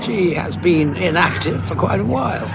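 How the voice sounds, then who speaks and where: An actor with a British accent playing a weary, indignant old Time Lord warrior in an audio drama, gravelly, dry and sarcastic. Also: male voice, high-pitched, lively intonation, moderate pace, very noisy. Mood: curt, wry. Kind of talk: full-cast audio drama acting.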